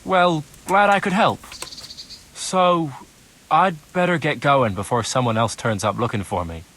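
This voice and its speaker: An actor playing an ingratiating, glib turncoat informant in a video game cutscene. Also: male voice, mid-range voice, a little slow, fairly bright tone, slight background noise, natural voice. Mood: sly, confiding. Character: slippery male turncoat informant bargaining for his freedom.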